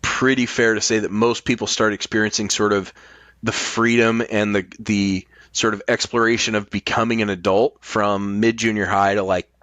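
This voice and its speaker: A man's voice: lowish voice, little pitch movement, moderate pace, fairly bright tone, dry recording. A middle-aged American man in casual, opinionated chat, making his points emphatically and swearing freely.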